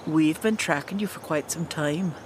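An actress playing a stern, composed queen and mother, formal, measured and commanding, in a fantasy audio drama. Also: low voice, a little hiss or hum, lightly acted. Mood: stern concern.

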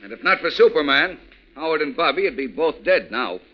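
A man acting a brisk, tough police sergeant in a 1940s radio serial. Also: fairly high pitch, big pitch swings, bright, forceful tone, exaggerated voice, low-quality recording. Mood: determined.

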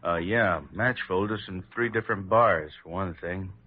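An actor playing an easygoing American police sergeant with a laconic manner in an old radio drama. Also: male voice, deep voice, moderate pace. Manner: laconic, matter-of-fact. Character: easygoing, soft-hearted city police sergeant. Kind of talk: 1940s-50s American radio drama acting. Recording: tinny, band-limited old radio recording.

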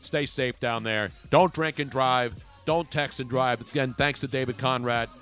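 A man's voice: mid-range voice, fairly even intonation, moderate pace, a bit bright, faint music behind. A man from Philadelphia hosting a talk radio show, joking around in mock outrage and rambling. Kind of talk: casual sports talk radio.

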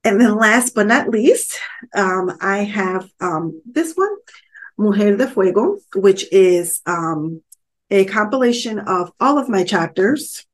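A woman's voice: medium pitch, animated, slow, rough recording. A woman hosting her own solo podcast, speaking in an upbeat, encouraging way with lots of "um" fillers. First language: not Spanish; English first language. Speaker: podcast host and author.

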